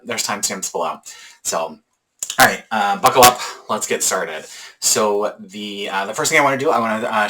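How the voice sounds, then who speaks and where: Chatty deep-voiced man hosting a knitting podcast. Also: pushed and bright, poor audio. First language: English. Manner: rambling, chatty, many ums and restarts. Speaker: podcast host. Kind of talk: casual podcast chat.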